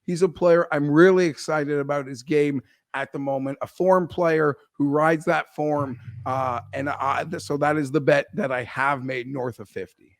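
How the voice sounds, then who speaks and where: A hoarse man co-hosting a casual golf betting chat, rambling and leaning on filler words. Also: a little high, steady tone, moderate pace, no room sound. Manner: rambling, leans on "like" and restarts. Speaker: golf betting analyst co-host.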